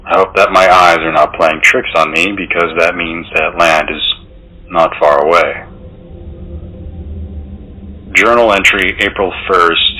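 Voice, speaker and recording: Man acting very deep, haunted sailor. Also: bright, forceful tone, slight background noise, exaggerated voice, low-quality recording. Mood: haunted, tense. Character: weary, fearful young sailor writing his 1712 journal. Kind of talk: dramatic horror-story journal reading.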